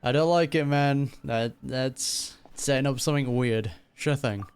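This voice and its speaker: A man's voice: mid-range voice, measured pace, background noise. A young man giving casual, slangy reaction commentary on a video game as he plays. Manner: laid-back, slangy. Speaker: gaming reactor.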